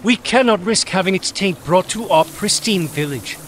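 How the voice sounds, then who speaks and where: A man's voice: high-pitched, lively intonation, normal speed, slight background noise. A man playing a haughty, disapproving elf with a refined, formal tone in an audiobook. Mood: haughty disdain. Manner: disdainful, formal. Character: haughty, disapproving silver-haired male elf. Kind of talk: acted audiobook dialogue.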